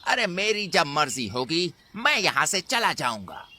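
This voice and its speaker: A man's voice: a little high, very animated, measured pace, sharp tone, full character voice. A man voicing a shifty, insolent wolf in a children's cartoon, curt and defiant. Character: shifty, insolent male wolf from another forest. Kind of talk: Hindi children's cartoon dubbing.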